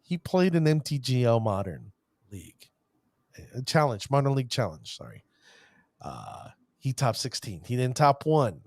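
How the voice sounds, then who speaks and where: A man hosting a casual podcast, playfully giving shout-outs to players and stumbling over their names. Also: mid-range voice, very animated, normal speed, no echo, clean studio sound. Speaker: podcast host.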